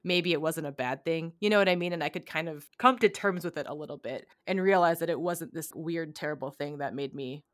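A young woman, co-hosting a podcast and telling personal stories in an animated, casual way, full of "like" and "right?". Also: low voice, brisk, few pauses, no room sound, studio quality. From United States. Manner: animated, heavy use of "like" and "right?". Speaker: podcast co-host. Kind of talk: casual conversational storytelling.

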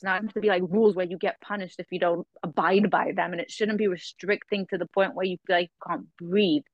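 A woman's voice: on the low side, steady tone, a little fast, no echo, lo-fi sound. A woman in her thirties, a podcast host chatting casually in conversational discussion. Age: 30s.

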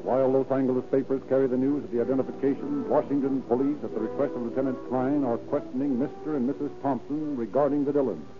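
A man's voice: medium pitch, fairly even intonation, quick pace, few pauses, phone-quality sound, lightly acted. A man narrating an old radio crime drama in a scripted, period style. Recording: old radio recording, music behind.

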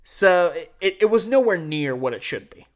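A man's voice: high-pitched, expressive, moderate pace. An American man hosting a sports show, delivering an opinionated solo commentary in an emphatic, conversational way. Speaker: sports podcast and radio host.

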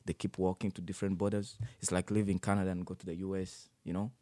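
Young man from the Congo, deep mellow voice, halting storytelling full of fillers. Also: level tone, no echo. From Democratic Republic of the Congo, raised in Uganda. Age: 20s. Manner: halting, many fillers and restarts. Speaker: interviewee. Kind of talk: personal life story interview.

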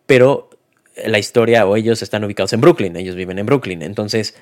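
A man's voice: on the low side, a little fast. A man giving a casual spoken film review, hesitant, with many fillers and restarts.

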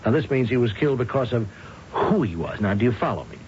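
An actor playing a patient, methodical police lieutenant who questions people politely and hesitantly in an old radio drama. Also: male voice, mid-range voice, lively intonation, fast talker, a little mellow, close to an everyday voice. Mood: patient, measured. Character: unhurried, probing male police lieutenant questioning a suspect. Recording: noisy, muffled old radio recording.

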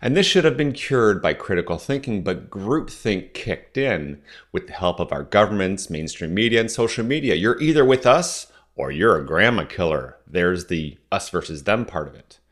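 A man in his forties from Southern Ontario, a native French speaker, hosting a podcast and delivering a measured, questioning, persuasive solo monologue. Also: low-pitched, sing-song, fairly bright tone. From Southern Ontario, Canada. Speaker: podcast host.

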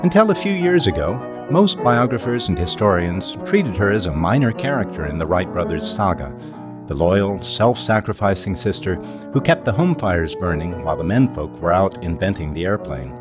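An older man narrates a scripted documentary as podcast host. His voice sits very low and moves with animated intonation, measured and emphatic, over clearly audible music.

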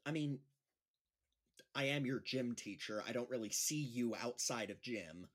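A man's voice: mid-range voice, lively intonation, measured pace. An actor playing a nervous, earnest male gym teacher who speaks awkwardly and hesitantly in an audio drama. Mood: reassuring. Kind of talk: naturalistic audio drama dialogue.

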